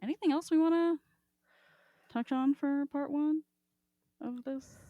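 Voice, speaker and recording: A woman's voice: high voice, measured pace, no echo, dull audio. A woman co-hosting a podcast in casual discussion, talking informally and swearing freely. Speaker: podcast co-host.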